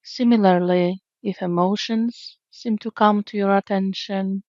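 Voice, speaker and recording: Calm lady doing guided meditation, soothing, lots of pauses, bit muffled recording, no room echo. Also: medium pitch. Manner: soothing, gentle, unhurried with long pauses.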